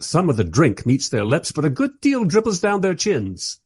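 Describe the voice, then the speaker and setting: An actor playing a wry, curious time traveller who narrates a radio play in the first person and speaks in its dialogue. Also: male voice, on the high side, wildly swooping pitch, moderate pace, darkish tone, slightly rough, dry recording, heavily acted. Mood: wry, amused. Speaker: narrator and lead character.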